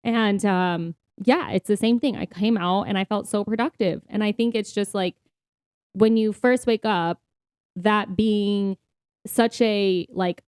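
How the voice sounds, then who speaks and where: A woman's voice: medium pitch, unhurried, a little mellow, smooth, no echo. Woman, dry close recording. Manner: chatty, informal, frequent "like". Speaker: podcast co-host. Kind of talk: casual conversational podcast chat.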